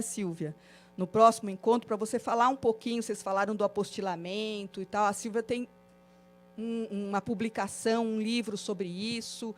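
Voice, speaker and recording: Woman lecturing in a training session. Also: mid-range voice. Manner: listing points in rhythmic series, frequent filler "né". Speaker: course facilitator. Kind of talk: classroom lecture on citizenship. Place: meeting room of a training course.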